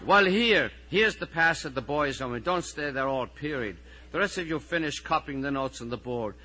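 A harried Irish schoolteacher played by a man reading his story aloud pushes his voice high and loud. He is exasperated and pleading, with a bright, forceful edge as he questions his pupils. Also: animated, normal speed, low-quality recording. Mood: weary, sarcastic.

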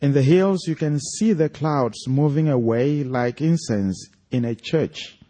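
A man's voice: mid-range voice, a little slow, warm tone. A man with a Nigerian accent, an author speaking and reading aloud to an audience at a live event. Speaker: author reading from his own story at an event.

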